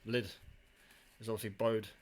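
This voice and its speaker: A man with a fairly low voice talks through his hobby gear in a casual, offhand way. He half mutters to himself as he works with his hands. The handheld camera recording has faint noise and small handling clicks behind him. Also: little pitch movement, moderate pace. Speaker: hobbyist demonstrating his CB radio kit. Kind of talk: casual hands-on show-and-tell.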